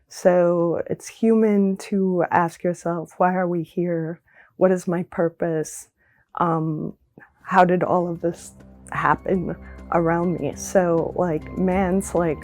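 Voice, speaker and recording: A woman's voice: lowish voice, a little mellow. A woman who dances, speaking earnestly and reflectively in an interview, often with ums and likes. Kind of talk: documentary interview.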